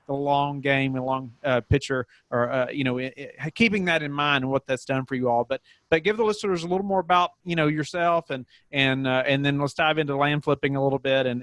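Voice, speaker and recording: A man's voice: mid-range voice, a bit bright, no room sound. A man hosting a podcast, asking rambling questions with many fillers and restarts. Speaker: podcast host. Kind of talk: casual podcast interview.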